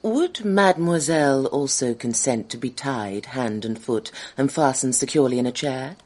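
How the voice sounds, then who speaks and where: Very low, husky, commanding villainess. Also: female voice, animated, normal speed, a little mellow. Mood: cool, commanding. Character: cold, commanding female skeptic, secretly villainous. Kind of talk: radio drama acting.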